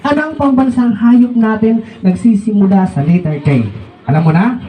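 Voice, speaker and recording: A man's voice: high voice, moderate pace, warm tone. A Filipino man hosting playful comedy banter, asking and repeating questions and often laughing as he talks. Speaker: comedy show host interviewing a performer. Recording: distant mic with crowd noise.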